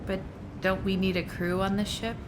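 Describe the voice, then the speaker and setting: A woman's voice: medium pitch, flat delivery, moderate pace, noisy background, close to an everyday voice. A woman playing a conflicted, tired neuroscientist mother in a naturalistic audio drama, speaking in a hesitant, conversational way. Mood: torn. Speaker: voice actor in a musical audio drama.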